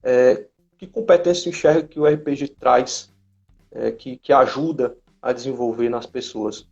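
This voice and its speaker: A man's voice: medium pitch, moderate pace, gravelly, a little mellow. A man hosting a casual chat, speaking hesitantly as he pieces thoughts together, on lo-fi live-stream audio.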